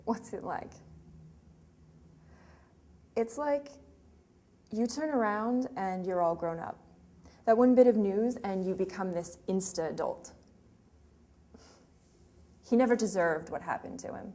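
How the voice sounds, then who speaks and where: A woman's voice: mid-range voice, animated, slow, pauses often, mellow. An actress playing a grieving young woman remembering her lost sweetheart in a hesitant, emotional, confessional monologue. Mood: wistful, earnest. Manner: hesitant, emotional, with trailing phrases. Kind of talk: dramatic monologue, confessional and conversational. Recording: clearly noisy room ambience.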